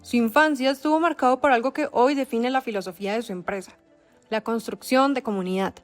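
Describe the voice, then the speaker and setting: A woman narrating a documentary script in a measured, dramatic storytelling cadence. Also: on the high side, soft background music.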